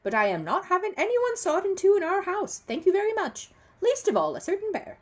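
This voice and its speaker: A woman voicing a brisk, stern, no-nonsense older woman in a storybook, with a firm, emphatic manner. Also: extremely high pitch, very animated, pitched above the performer's own voice.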